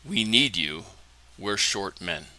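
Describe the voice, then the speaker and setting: A man's voice: lowish voice, animated, slow pace, pushed and bright, heavily acted, low-quality recording. A man voicing a British male rugby team captain with a British accent, urgent and insistent as he reads the scene aloud.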